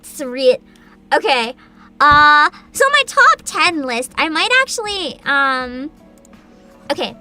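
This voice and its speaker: A young woman livestreamer with a high, very animated voice that swings up and down as she talks. She chats casually to her viewers in an excitable, giggly, unfiltered way, with music playing behind her. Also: slow pace. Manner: excitable, giggly, informal and sweary. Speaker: livestream host, vtuber. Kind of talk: casual livestream chat and reactions. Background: background music.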